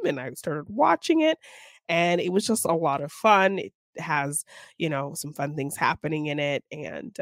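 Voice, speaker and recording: A woman's voice: fairly low pitch, gravelly, dry recording, clean studio sound. A woman co-hosting a film podcast, chatting in an easygoing, giggly way. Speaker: podcast co-host. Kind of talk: casual film podcast chat.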